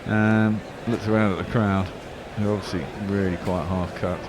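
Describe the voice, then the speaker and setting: A man with a lowish voice calls cricket ball by ball in a loose, chatty way, drifting into long stories between deliveries. Clear background noise runs under his voice. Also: flat delivery, normal speed. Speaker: cricket commentator. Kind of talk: casual ball-by-ball radio commentary.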